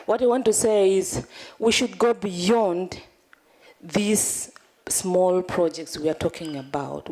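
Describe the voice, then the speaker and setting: A woman's voice: fairly low pitch, a little mellow. A woman from Tanzania, a civil society delegate, speaking earnestly from the floor of a large conference hall, pleading and restarting as she thinks aloud. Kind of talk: impassioned comment from the floor.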